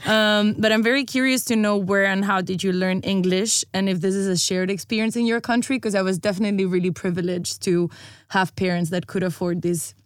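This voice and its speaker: A woman's voice: medium pitch, fairly even intonation, brisk, clear, resonant tone, no room sound, studio quality. A woman from Spain, hosting a podcast in a chatty, easygoing way. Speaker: podcast host, copywriter. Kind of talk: casual podcast chat.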